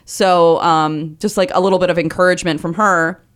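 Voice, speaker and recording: A woman in her thirties with a lower voice, chatting as an interview guest in a loose, self-deprecating way with many fillers. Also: steady tone, brisk. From Vermont Northeast Kingdom. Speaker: guest comedian. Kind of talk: casual interview conversation.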